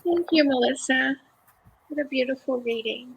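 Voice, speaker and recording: A woman hosts a literary event, giving introductions to readers. Her voice sits high, with noticeable vocal fry. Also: moderate pace, dull audio, slight background noise. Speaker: host of a literary reading.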